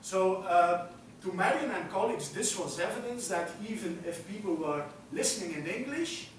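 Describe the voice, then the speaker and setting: A middle-aged man with a Belgian Dutch accent, a native Dutch speaker, giving an academic lecture and filling his pauses with frequent "uh"s. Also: sits high, lively intonation, a little hiss or hum. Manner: frequent "uh" fillers, walks about while explaining. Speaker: university lecturer. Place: large lecture hall. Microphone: room mic far from speaker. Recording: echoey lecture hall from far off.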